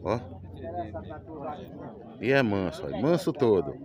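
A man's voice: fairly low pitch, sing-song, takes it slowly. A middle-aged man talks chattily to the camera, pointing out animals as he goes. He is recorded outdoors on a handheld camera. A busy crowd murmurs all around him. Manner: chatty, pointing things out to viewers. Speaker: vlogger visiting a cattle fair. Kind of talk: casual on-the-spot commentary. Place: outdoor livestock market pens.

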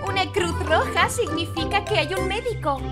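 A woman voicing a cheerful, curious little girl in a preschool cartoon, speaking politely and clearly to small children over children's music. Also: very high voice, expressive, moderate pace. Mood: curious, excited. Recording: children's music playing behind.

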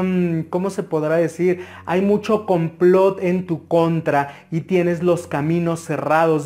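A man's voice: on the high side, darkish tone. A man with a Mexican Spanish accent giving an interactive tarot reading, advising the viewer earnestly with frequent hesitations. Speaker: tarot reader.

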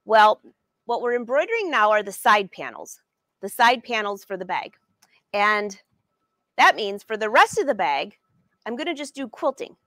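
A woman's voice: mid-range voice, big pitch swings, normal speed, bright, forceful tone, dry recording. A woman in her forties leading a live sewing lesson from her studio, chatting upbeat and answering viewers by name. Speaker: sewing educator and brand ambassador.

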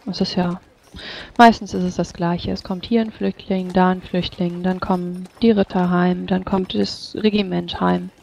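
A woman roleplaying in character at a fantasy tabletop game, in measured, formal phrasing. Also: fairly low pitch, level tone, vocal fry, a little mellow, a little hiss or hum. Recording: dull, lo-fi voice chat.

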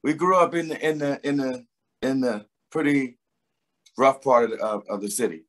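Um homem de meia-idade com sotaque da Filadélfia, falando numa conversa descontraída por videochamada, com muitas pausas e hesitações. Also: male voice, medium pitch. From Philadelphia, USA. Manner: relaxed, rambling, many fillers and restarts. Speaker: singer and guest. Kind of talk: casual interview chat. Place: home room with a door behind. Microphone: video call webcam.

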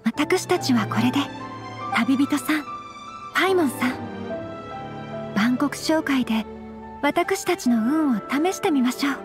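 A woman voicing a gentle, refined young noblewoman with very polite, measured speech in an anime game. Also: sits high, expressive. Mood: courteous, calm. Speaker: game voice actor. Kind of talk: scripted anime game dialogue. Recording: studio voice over game music.

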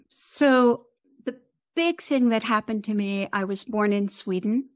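A woman's voice: a little high, takes it slowly, dry recording. Reflective American woman aged seventy. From United States. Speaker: podcast guest, composer and author. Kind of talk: reflective interview talk.

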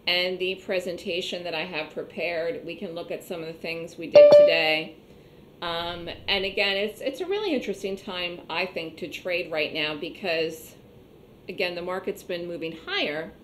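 A woman with a slightly hoarse voice teaching an online trading class, explaining step by step and repeating points conversationally. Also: on the low side, fairly bright tone, a little hiss or hum. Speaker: trading instructor. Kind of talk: online trading webinar lecture.

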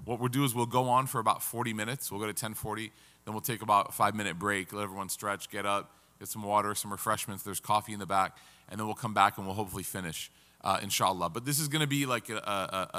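A man's voice: lowish voice, fairly even intonation, fast talker, pushed and bright. Man teaching a class in a reverberant hall. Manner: engaging, asks the audience questions. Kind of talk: interactive Quran class.

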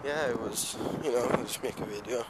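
A teenage boy rambles casually into a handheld camera as he walks outside, with very heavy noise around him. His voice sits at a medium male pitch. He is offhand and hesitant, drifting from one half-thought to the next. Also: moderate pace. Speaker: amateur vlogger. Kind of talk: casual rambling walking vlog. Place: outdoors on a residential street, walking. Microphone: handheld camera microphone. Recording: handheld camera outdoors, loud street noise.

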